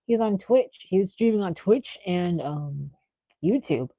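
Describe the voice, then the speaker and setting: A woman's voice: fairly low pitch, expressive, measured pace, mellow, no room sound. A woman chatting casually on a livestream in an informal, rambling way. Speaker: streamer, host. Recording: muffled lo-fi mic with clicking.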